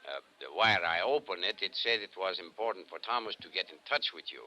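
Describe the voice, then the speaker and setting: A very sharp, bright voice, fairly low in pitch, belongs to an older working father. He is polite, hesitant and homespun, as in old radio drama. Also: animated, brisk, heavily acted. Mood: earnest, hesitant. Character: plain-spoken, courteous working man, an older father.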